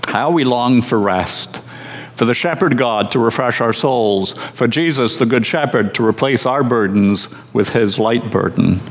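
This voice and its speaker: Pastor preaching in a reverberant hall. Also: male voice, mid-range voice. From Scotland. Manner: measured and conversational, with dry humor. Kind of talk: sermon. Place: church.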